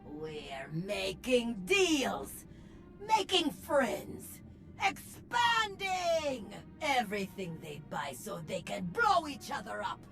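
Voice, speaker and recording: Bold, high-pitched rebel gang queen. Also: wildly swooping pitch, over music, heavily acted. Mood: defiant, assured. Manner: declamatory, deliberate, rallying. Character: bold, charismatic female gang leader and rabble-rousing revolutionary. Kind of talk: theatrical video game speech.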